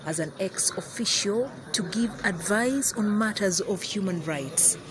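A Ugandan woman narrates a news report in an even, steady voice-over. Clear background noise runs under her. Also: mid-range voice, a little slow. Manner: even, informative delivery.

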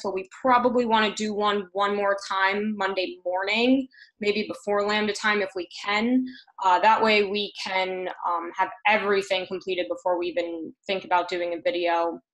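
A young woman presenting a team update on a video call, earnest and often pausing mid-sentence. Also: mid-range voice, steady tone, a bit bright, poor audio. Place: room at home, indoors. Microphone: computer mic on a video call.

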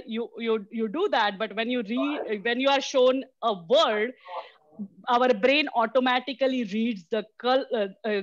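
A woman in her forties from Mumbai giving a mentorship talk over a video call, halting with many fillers and restarts. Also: a little high. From Mumbai, India. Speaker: guest speaker. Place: small room indoors. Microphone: headset on a video call. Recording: dull lo-fi video call audio.

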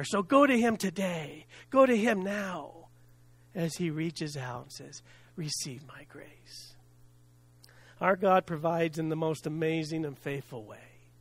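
A middle-aged man preaching a sermon from a church lectern, earnest and unhurried, dropping softly at the ends of phrases and laughing at words he stumbles over. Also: high-pitched. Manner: earnest, preaching from a lectern with pauses and soft drops; self-deprecating laugh at hard words. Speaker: pastor. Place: church sanctuary with stage and screen. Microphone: stand mic at lectern, filmed from the back.

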